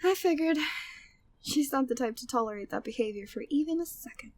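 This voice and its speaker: A woman's voice: high-pitched, animated, a little fast, darkish tone, dry recording. A woman playing a flirty, devoted, gossipy maid at a manor in an ASMR audio drama, speaking affectionately and teasingly in formal period wording. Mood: intrigued, gossipy.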